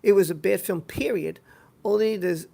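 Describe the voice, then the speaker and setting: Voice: mid-range voice, moderate pace, vocal fry, dark tone. A live stream host chatting casually and reading out viewer comments, rambling and repeating phrases for emphasis.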